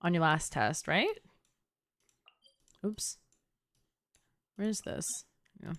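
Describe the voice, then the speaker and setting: Young female streamer. Casual gameplay chatter, teasing asides to viewers, animated intonation. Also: fairly low pitch, extremely slow. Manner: bantering, teasing, talks to chat. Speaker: game streamer. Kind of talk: casual livestream chat and gameplay commentary.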